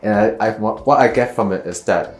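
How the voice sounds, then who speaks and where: A man from Hong Kong hosting an interview, asking questions haltingly with restarts. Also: fairly low pitch. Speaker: host. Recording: echoey room with faint music.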